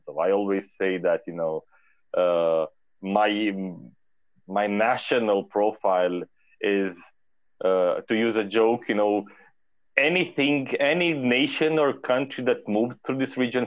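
Man from Romania, low voice, lots of ums. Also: moderate pace, dry recording, dull audio. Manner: hesitant, many fillers and restarts. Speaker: guest. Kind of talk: casual interview talk.

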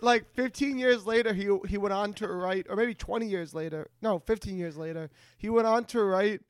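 High-voiced man bantering casually. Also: normal speed, clear, resonant tone, no echo. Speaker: podcast co-host.

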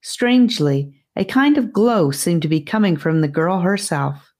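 Slow, low-pitched woman narrator. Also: lively intonation, a little mellow. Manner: measured, storybook reading. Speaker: audiobook narrator. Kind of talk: scripted fantasy story narration.

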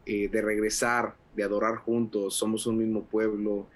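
A man's voice: on the low side, flat delivery, moderate pace. A young adult man from Mexico, an associate pastor speaking as a radio interview guest, fervent and full of fillers and restarts.

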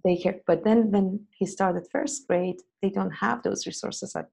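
A woman with a Persian accent and Persian as her first language, chatting in an interview in a halting, hesitant way. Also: medium pitch, steady tone, vocal fry, warm tone, breathy edge. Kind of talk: casual interview conversation.

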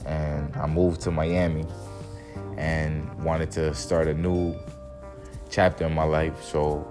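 Very deep-voiced man, laid-back. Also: level tone, slow, over music. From Dominican, raised in Jersey City. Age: 30s. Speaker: guest, music producer. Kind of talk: casual interview chat.

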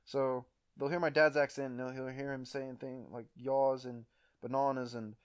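A man's voice: medium pitch, normal speed, clean tone. A young man from Canada co-hosting a casual podcast, ranting in jokes and laughing often. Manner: animated, laughs often, jokey rants. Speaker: podcast co-host. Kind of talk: casual chat.

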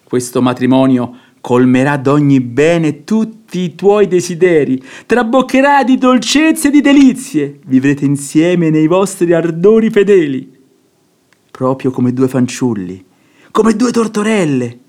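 A man's voice: on the high side, lively intonation, unhurried, darkish tone. A man playing a stubborn, domineering, pious middle-aged nobleman father in a theatrical radio comedy, blustering and exasperated. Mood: stubborn, gushing.

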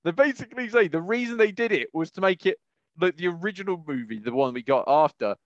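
A man with a slightly high voice chats casually on a podcast. He repeats himself for emphasis and voices imagined reactions. The recording is dull and lo-fi. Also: expressive, fast, dry recording. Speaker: podcast co-host. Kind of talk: casual chat.

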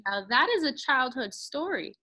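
A woman's voice: sits high, animated, normal speed, sharp tone. A woman hosting a country music interview, chatting enthusiastically and fond of puns. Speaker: interview host. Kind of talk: upbeat podcast interview.

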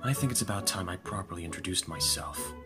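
A man playing a composed vampire lord in an audio roleplay, speaking formally and with calm authority to a servant. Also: low voice, fast, natural voice. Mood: calm, teasing. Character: lordly young-sounding male vampire master, calm and commanding. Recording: music behind the voice. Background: music.

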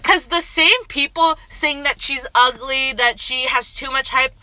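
A woman's voice: high voice, unhurried, bright, forceful tone, no room sound. A young woman in her late teens, chatty and opinionated, steering a casual group chat. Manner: chatty, opinionated, leads topics.

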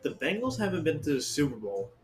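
A man's voice: a little high, very animated, fast, soft background music. A young man chatting bluntly and swearing a lot in casual podcast talk. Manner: profane, blunt, teasing. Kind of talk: casual chat.

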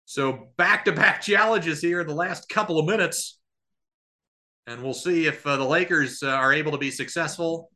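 A man calling live basketball play-by-play as a podcast host, somewhat halting between plays. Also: on the high side, bright, forceful tone.

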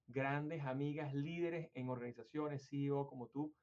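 A man from Venezuela hosting a conversational interview, musing at length and circling back with fillers and restarts. His voice sits at an ordinary pitch, and the recording sounds somewhat dull and lo-fi. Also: steady tone, moderate pace, a little mellow. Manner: rambling, hesitant with many fillers and restarts. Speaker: interview host.

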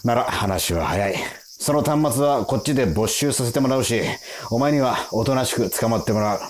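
An actor in a radio drama playing a gruff, cocky time-patrol officer, rough and condescending, easily irritated. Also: male voice, mid-range voice, animated, moderate pace, a little hiss or hum, close to an everyday voice. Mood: stern, threatening. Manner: condescending, rough interrogator talk.

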